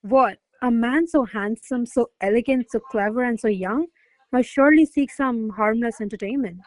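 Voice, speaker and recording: A woman's voice: a little high, normal speed, darkish tone, no room sound, lightly acted, poor audio. A woman playing a haughty noble lady who commands and questions in a radio play. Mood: probing. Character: imperious, jealous noble lady, probing and commanding. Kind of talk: stage verse drama read as radio play.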